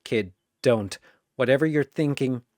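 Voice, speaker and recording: A narrator voicing a gruff, protective female brawler with a blunt, terse manner in an audio story. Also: mid-range voice, a little slow, a little mellow, no room sound, clean studio sound, lightly acted. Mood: urgent warning.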